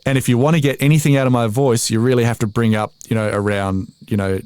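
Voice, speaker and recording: A man's voice: medium pitch, fairly even intonation, quick pace, darkish tone, clear, resonant tone, no room sound. Chatty Australian man in his forties. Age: 40s. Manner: chatty, self-deprecating, frequent restarts and laughs. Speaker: voiceover artist, podcast guest. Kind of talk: casual interview chat.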